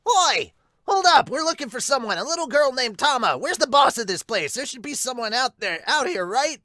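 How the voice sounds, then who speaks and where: A woman voicing a brash, loud young male pirate hero in a manga dub. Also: sits high, very animated, moderate pace, no room sound, studio quality, full character voice. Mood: demanding.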